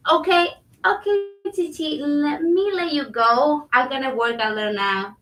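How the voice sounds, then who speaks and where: A woman's voice: sits high, unhurried, fairly bright tone. A woman playing a polite, dutiful immigrant kitchen cook in a staged reading, with a Latin American Spanish accent. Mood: chatty, friendly. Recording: roomy, distant microphone.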